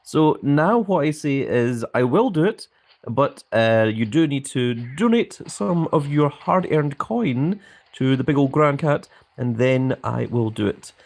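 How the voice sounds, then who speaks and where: A man's voice: mid-range voice, animated, a little slow, creaky, darkish tone. A young man live-streaming a mobile game, chatting casually and reading viewers' comments aloud with frequent ums.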